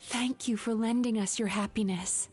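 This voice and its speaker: A woman voicing a game heroine, speaking in an earnest, calm and steady way. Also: fairly high pitch, normal speed, faint music behind, close to an everyday voice. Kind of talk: game voice acting.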